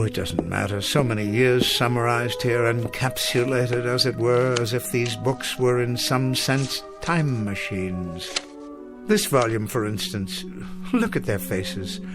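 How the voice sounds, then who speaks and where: A man's voice: medium pitch, expressive, a little slow, music in the background. An actor playing a melancholy old male schoolteacher in a radio drama, with wistful, eloquent and formal old-fashioned phrasing. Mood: wistful reminiscing. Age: older. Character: weary, melancholy old male schoolteacher, bookish and self-reproaching. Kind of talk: dramatic radio play acting.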